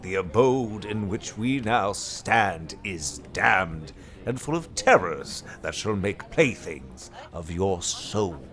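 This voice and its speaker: A man's voice: low-pitched, sing-song, slow pace, a bit bright, faint music behind, heavily acted. A man acting a gloomy, pompous horror writer with florid, archaic, theatrical delivery in a comic web drama. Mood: doom-laden.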